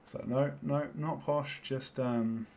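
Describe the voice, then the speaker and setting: A man's voice: mid-range voice, steady tone, takes it slowly, creaky, a little mellow, a little hiss or hum. A man with a South London accent chatting casually as he plays a game, rambling and often laughing. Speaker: Minecraft let's-play host. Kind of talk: casual chat while playing.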